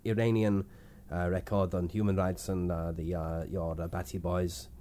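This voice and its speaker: A man voicing an odd foreign male stand-in producer with a thick put-on accent, halting and full of fillers. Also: sits low, flat delivery, warm tone, close to an everyday voice. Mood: rambling. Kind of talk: improvised comedy podcast character.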